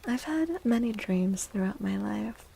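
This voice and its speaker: A woman speaking softly and soothingly in a whispery, breathy voice, as an ASMR livestream host. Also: mid-range voice, animated. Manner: gentle, soothing, unhurried. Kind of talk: soft-spoken ASMR, tarot reading and chatting with viewers.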